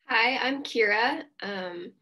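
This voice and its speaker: A young woman from Colorado, an orientation leader, speaking in a measured, soft-spoken way. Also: a little high. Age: young adult. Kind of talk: casual panel advice.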